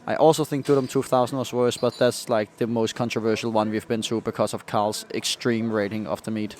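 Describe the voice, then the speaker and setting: A man's voice: fairly low pitch, flat delivery, a little fast, darkish tone. A young man chatting casually as a podcast co-host, giving frank, critical reviews. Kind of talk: casual chat.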